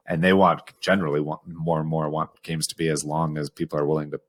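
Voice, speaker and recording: A man's voice: extremely low pitch, flat delivery, quick pace. A man co-hosting a podcast, explaining his opinions in a casual, analytical way. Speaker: podcast co-host.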